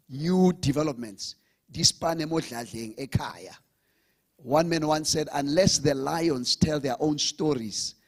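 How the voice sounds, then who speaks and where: A man's voice: mid-range voice, husky. A man hosting a live event, rallying the audience and switching languages. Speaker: event host. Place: conference hall with audience.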